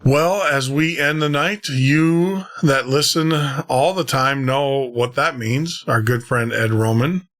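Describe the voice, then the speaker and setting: A man's voice: mid-range voice, slow pace, hardly any pauses, fairly bright tone. A man hosting a late-night paranormal radio podcast, closing the show in a casual, upbeat way and often repeating words and restarting phrases. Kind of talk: casual on-air sign-off with announcements.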